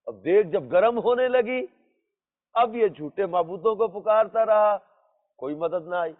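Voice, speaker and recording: Male religious teacher, high voice, emphatic storytelling with repeated phrases, heard in a long hall reverb. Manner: emphatic, repeats phrases, poses rhetorical questions. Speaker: religious teacher telling moral stories. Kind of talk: religious storytelling lesson to listeners. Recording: muffled lo-fi audio in reverberant hall.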